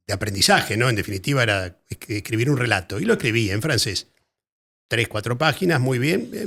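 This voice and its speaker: A man with an Argentine Spanish accent, a writer being interviewed, talking in a thoughtful, halting way and often repeating words as he searches for phrasing. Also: mid-range voice, creaky, a bit bright. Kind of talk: casual interview conversation.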